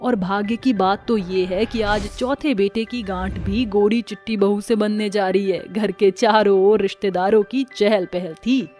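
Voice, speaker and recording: A woman's voice: mid-range voice, steady tone, measured pace, hardly any pauses, dark tone, faint music behind. A woman narrating a scripted cartoon story as the storyteller.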